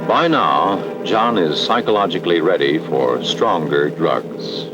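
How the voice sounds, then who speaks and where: A man's voice: sits low, expressive, slow pace, muffled recording. An American man narrating a 1960s educational film in a grave, ominous, emphatic scripted style, on a vintage film soundtrack. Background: dramatic background music.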